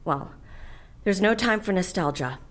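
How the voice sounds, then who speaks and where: An actress playing a commanding boss of a shady outfit in an audio drama, urgent and decisive. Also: female voice, medium pitch, lively intonation, a little slow, noisy background, close to an everyday voice. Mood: resigned. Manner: urgent, decisive, giving orders.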